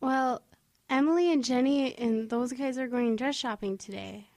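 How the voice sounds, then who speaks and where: A woman's voice: on the high side, moderate pace, darkish tone, lightly acted. A woman playing a whiny, sulky fifteen-year-old schoolgirl in an audio drama. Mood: sulky, hesitant.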